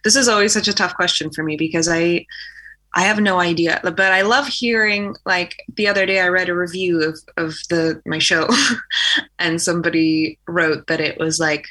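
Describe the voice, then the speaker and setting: A young woman with an American accent talks in a casual, chatty way, full of "like" and quick laughs. Her voice sits slightly low but sounds bright and forward. She is a guest musician speaking easily about her work. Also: steady tone. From Poland. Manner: chatty, laughs often, uses "like" a lot. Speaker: guest singer-songwriter. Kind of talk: casual interview chat.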